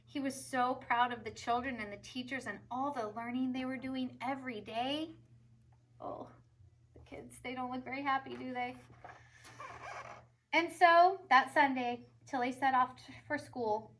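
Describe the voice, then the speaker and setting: A woman reading a picture book aloud as a teacher would to children, expressive and emphatic, with asides to young listeners. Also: high voice, a little slow, slight background noise.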